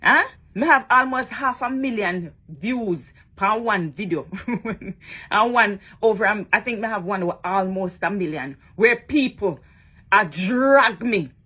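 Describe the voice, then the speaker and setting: A woman's voice: mid-range voice, animated, measured pace, sharp tone, husky. A woman talking casually to her followers in a vlog, chatty and emphatic, often tagging on questions. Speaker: social media blogger.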